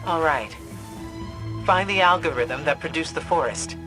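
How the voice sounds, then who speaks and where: An actress playing a grave, authoritative female warlock mentor in a video game, speaking in a measured way. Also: low-pitched, animated. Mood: grave, resolute. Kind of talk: scripted video game voice acting. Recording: music playing behind the voice.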